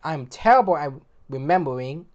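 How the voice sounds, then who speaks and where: A woman hosting casual gaming commentary, opinionated, often repeating and restarting words, her voice getting hoarse. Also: sits low, lively intonation, normal speed, a little mellow, highs cut off. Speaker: gaming video host.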